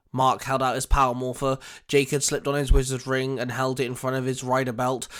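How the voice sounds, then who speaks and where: A man's voice: medium pitch, a bit bright. A man reading scripted fan fiction as the narrator of an action story.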